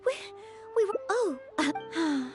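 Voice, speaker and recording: A woman's voice: extremely high pitch, very animated, takes it slowly, over music, full character voice. A woman voicing an earnest, polite and easily flustered young maid knight in a video game.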